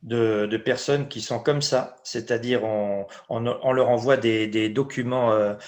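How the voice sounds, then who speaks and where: A man from Luxembourg hosting an interview in conversational French, emphatic and animated, sometimes laughing as he talks. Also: medium pitch, unhurried. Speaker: interview host.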